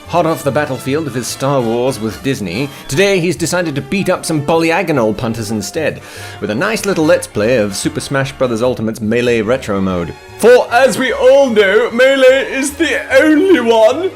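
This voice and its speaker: Guy doing jokey scripted host narration, super animated and theatrical, bit of music behind him. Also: fairly high pitch, normal speed. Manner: theatrical, mock-grand, playful alliterative flourishes.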